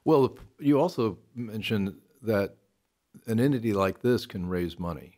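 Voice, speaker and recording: Male interview host, notably low voice, conversational, halting delivery as he thinks aloud. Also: animated, a little mellow. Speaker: talk show host.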